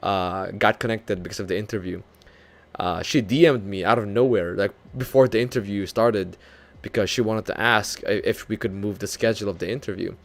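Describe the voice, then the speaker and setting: Young filipino guy streaming, low gravelly voice, chatty and laughs a lot, kinda american-sounding english. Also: fairly quick. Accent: light North American-leaning English, Filipino speaker. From Philippines. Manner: chatty, self-deprecating, laughs often, animated when retelling. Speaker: VTuber streamer. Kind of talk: casual live-stream chat with viewers.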